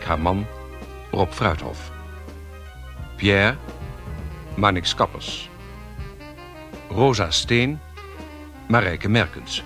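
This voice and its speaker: A man reading scripted radio drama credits in a measured announcer's style. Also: fairly low pitch, fairly bright tone.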